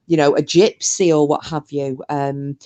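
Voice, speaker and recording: A woman's voice: low-pitched, little pitch movement, unhurried, mellow. A woman co-hosting a podcast, chatting casually about a paranormal case, with lots of fillers and restarts. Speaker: podcast co-host.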